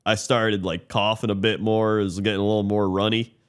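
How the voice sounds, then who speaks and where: A congested man with a somewhat low voice rambles through a story, full of "like" and "uh". His sound is a bit Muppet-like and stuffed up from a lingering cold, and he keeps it loose and chatty as a podcast co-host. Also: flat delivery, brisk, clear, resonant tone. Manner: rambling storytelling with many fillers. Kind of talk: casual chat.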